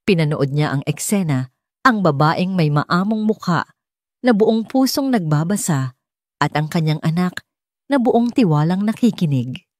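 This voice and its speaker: A woman's voice: sits low, darkish tone, no echo, studio quality. A woman reading a scripted romance story as an audiobook narrator.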